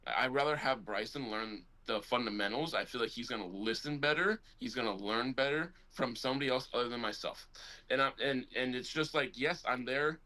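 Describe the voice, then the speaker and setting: A man co-hosting a casual sports talk show, telling rambling, animated stories about coaching kids, loud when he gets excited. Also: mid-range voice, rough recording. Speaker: podcast co-host and youth basketball coach.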